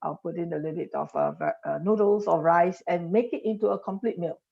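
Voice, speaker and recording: A woman's voice: on the low side, a little fast, vocal fry. A woman, explaining as a podcast guest and piling up examples with frequent restarts. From Singapore. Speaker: industry guest. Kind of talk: podcast interview. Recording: dull lo-fi podcast audio.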